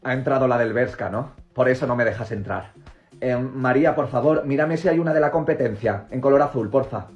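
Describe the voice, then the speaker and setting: Guy acting as a snobby bossy shop manager lady, castilian accent, deadpan sketch comedy, faint music behind. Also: medium pitch, a little fast, lo-fi sound, natural voice. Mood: entitled, haughty. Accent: Castilian Spanish. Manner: pompous, imperious, deadpan. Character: haughty, bossy female fashion store manager.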